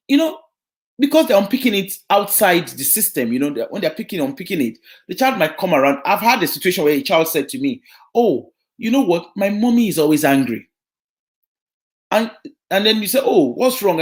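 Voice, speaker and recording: A woman from Africa on a live broadcast, advising parents about social work. She talks earnestly, repeats key points and keeps asking "right?". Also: on the low side. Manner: animated, keeps checking in with "right?". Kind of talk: advice talk to parents.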